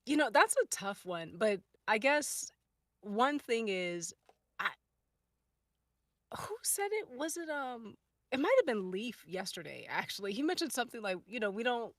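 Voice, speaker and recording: Female panel guest, mid-pitched but very bright and forceful, emphatic, unscripted chat, dry close sound. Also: no echo. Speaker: podcast cast or crew member. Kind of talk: casual, off-the-cuff group chat.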